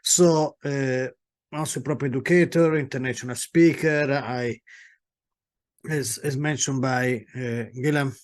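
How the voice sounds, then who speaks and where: A man's voice: mid-range voice, steady tone, a little slow. A middle-aged man with an Italian accent giving a webinar talk as a property solicitor, speaking with many hesitations and restarts. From Italy.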